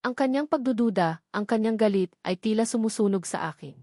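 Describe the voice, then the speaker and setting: A woman's voice: medium pitch, animated, brisk, darkish tone, no echo. Woman narrating a dramatic story, very dry sound.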